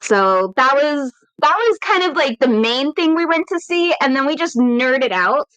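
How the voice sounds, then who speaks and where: High-voiced chatty woman podcaster. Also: animated, a little slow, fairly bright tone, dry recording, poor audio. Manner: chatty, upbeat, laughs often. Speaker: podcast host and editor. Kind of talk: casual podcast chat. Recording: dry room, some static.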